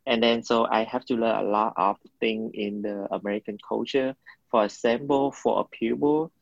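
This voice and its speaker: A man's voice: fairly low pitch, fairly even intonation, normal speed, creaky, dry recording, lo-fi sound. A man in his twenties from Vietnam with a Vietnamese accent, giving hesitant interview answers while searching for words. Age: 20s. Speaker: interviewee, college student.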